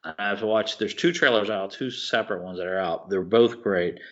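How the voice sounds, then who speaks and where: A man co-hosting a podcast in casual chat, excitable and rambling, often restarting his sentences. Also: on the low side, a little fast. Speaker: podcast co-host. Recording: dull lo-fi podcast audio.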